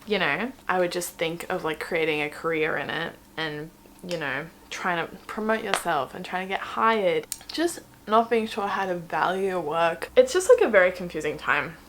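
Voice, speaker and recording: A woman's voice: fairly low pitch, expressive, normal speed, slight background noise, poor audio. Rambling young woman chatting into a camera. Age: 20s. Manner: chatty and rambling, many "like"s and self-mocking asides. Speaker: vlogger. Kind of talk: casual chat while doing makeup. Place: home room indoors.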